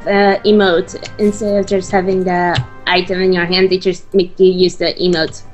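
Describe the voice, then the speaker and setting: A woman's voice: fairly low pitch, little pitch movement, normal speed, faint music behind. A woman chatting casually as a co-player during a let's play of an online game. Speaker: co-player, guest in gaming video. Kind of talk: casual chat while playing an online game.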